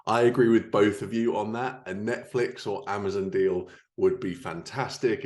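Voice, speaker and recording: A man with a fairly low voice hosts a podcast. He delivers prepared segments and guest introductions in the manner of a show about writing. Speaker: podcast host and writer. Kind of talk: scripted podcast narration and introduction.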